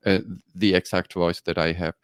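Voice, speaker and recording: Podcast co-host, man, Polish German accent, deep smooth voice, hesitant, dry close mic. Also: level tone, moderate pace, no room sound. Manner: hesitant, many ums and restarts. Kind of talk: casual discussion.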